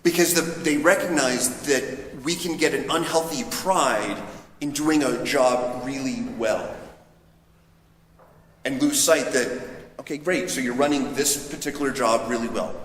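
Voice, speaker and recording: A man's mid-pitched voice rings through a large church with long reverb and some faint background noise. He preaches as a priest would in a homily, conversational and anecdotal. His delivery is reflective and slightly halting.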